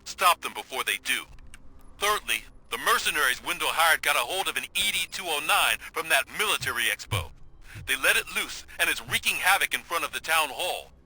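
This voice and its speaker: A man's voice: fairly high pitch, animated, brisk, sharp tone, full character voice, low-quality recording. A man playing an urgent, businesslike policeman giving briefings in a video game. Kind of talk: video game cutscene acting.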